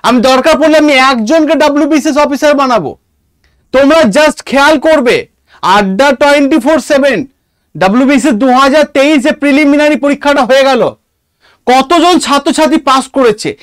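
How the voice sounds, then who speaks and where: Bengali guy teacher, really high voice, dry close sound, repeats stuff with emphasis for students. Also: fairly quick, dry recording. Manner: repetitive, emphatic, dictating points. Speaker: exam-prep history teacher. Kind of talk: online class lecture, addressing students informally.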